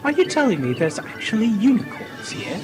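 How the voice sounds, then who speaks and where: A woman's voice: fairly high pitch, very animated, a little fast. Woman playing a flustered, polite time-traveling pony doctor over music. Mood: puzzled, awkward. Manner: formal, hesitant, eccentric turns of phrase. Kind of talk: fan radio play voice acting. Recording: music behind the voice.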